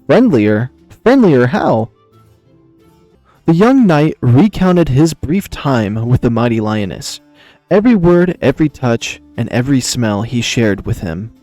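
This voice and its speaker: A man hosting a visual novel playthrough, switching between casual chat and expressive narration of the story. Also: mid-range voice, a little slow, no room sound, soft background music. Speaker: channel host playing and reading a visual novel.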